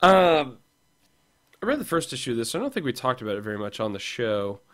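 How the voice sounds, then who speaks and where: A man with a slightly hoarse, rough voice, co-hosting a casual podcast chat about comics and rambling with fillers and restarts. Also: medium pitch, very animated, fairly quick, fairly bright tone. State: hoarse, losing his voice. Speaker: podcast co-host.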